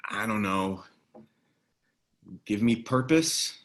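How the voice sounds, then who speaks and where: A man's voice: fairly low pitch, expressive, slow pace, a bit bright. A man reading the part of a wry, easygoing ex-soldier in a screenplay table read, with a casual, laconic delivery. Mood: frank, practical. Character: wry, easygoing ex-military man, protective ally. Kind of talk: screenplay table read, naturalistic dramatic acting.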